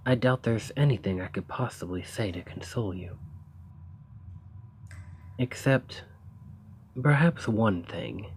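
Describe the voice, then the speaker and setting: A man playing an earnest, eager researcher of ancient civilizations in an ASMR roleplay, speaking in formal, gently sympathetic phrasing. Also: lowish voice, slight background noise. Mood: sorrowful, sympathetic. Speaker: audio roleplay performer. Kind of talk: ASMR roleplay, scripted character acting.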